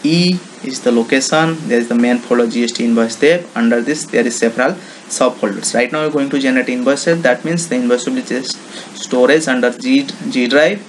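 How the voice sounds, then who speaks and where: A man with an Indian accent giving an informal software walkthrough, explaining step by step in simple, repetitive phrases. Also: medium pitch, brisk, a little hiss or hum, rough recording. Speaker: software tutorial presenter. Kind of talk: informal screen-recording walkthrough.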